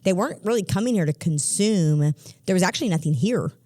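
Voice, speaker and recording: A woman pastor talking casually on a church podcast, chatty and quick to laugh. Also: on the low side, lively intonation, dark tone, dry recording, studio quality.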